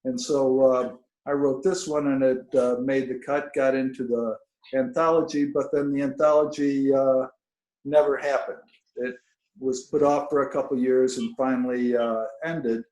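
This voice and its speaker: A man, an author, speaking informally and haltingly to an online reading over a video call. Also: medium pitch, level tone, a little mellow. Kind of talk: informal spoken introduction and reading of own fiction. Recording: roomy, echoing video call audio.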